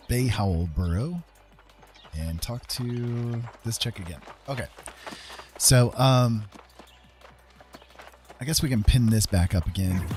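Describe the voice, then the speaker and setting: A man's voice: lowish voice, a little mellow. A man giving casual live gameplay commentary, half talking to himself as he plays. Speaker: game streamer.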